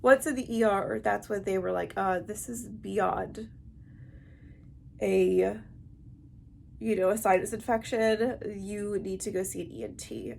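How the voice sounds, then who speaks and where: A woman speaks in a thick, blocked-up nasal voice at an ordinary pitch. She explains things haltingly, as in a personal vlog to camera. The amateur recording carries a little background noise. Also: gravelly, darkish tone. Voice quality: heavily congested, stuffy nasal. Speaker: vlogger.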